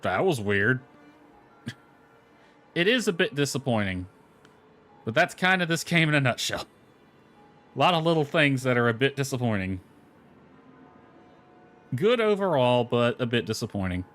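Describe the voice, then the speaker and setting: A man's voice: medium pitch, very animated, normal speed, pauses often, a bit bright. Casual guy gaming over music. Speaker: gamer commenting on own playthrough. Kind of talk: casual game commentary, reading dialogue aloud. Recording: lo-fi music playing behind. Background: background music.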